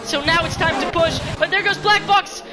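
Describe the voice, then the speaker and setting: Excitable high-pitched woman race commentator. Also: moderate pace, over loud music. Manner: excitable, rising pitch in close battles. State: slightly hoarse, losing her voice. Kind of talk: live sim racing commentary. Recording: loud crowd and engine noise behind. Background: race car engines and crowd ambience.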